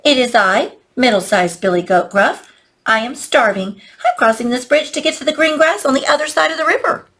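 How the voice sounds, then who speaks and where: A woman voicing a middle-sized male billy goat in a children's storybook, careful and reasoning, nervous but trying to stay calm. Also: high-pitched, a little fast, pitched above the performer's own voice. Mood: earnest. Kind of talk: children's storybook read-aloud.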